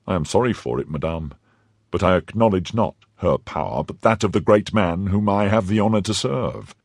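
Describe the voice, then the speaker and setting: A man voicing a pompous, cowardly middle-aged shopkeeper in his own low, husky voice. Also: moderate pace, a little mellow, dry recording, studio quality. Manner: self-important, blustering. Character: pompous fifty-year-old mercer, cowardly and greedy.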